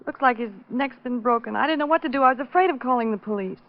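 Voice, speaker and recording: A woman's voice: fairly high pitch, fast, lightly acted. An actress playing an anxious woman who runs a hair salon in a 1940s radio drama, urgent and pleading. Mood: frightened. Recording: dull, hissy old radio recording.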